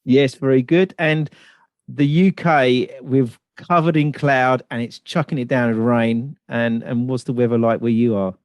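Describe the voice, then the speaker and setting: A man's voice: mid-range voice, steady tone, a little slow, no room sound. Chatty man, dry close sound. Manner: friendly and chatty, loose phrasing. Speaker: podcast host. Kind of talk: casual interview chat. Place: home in London.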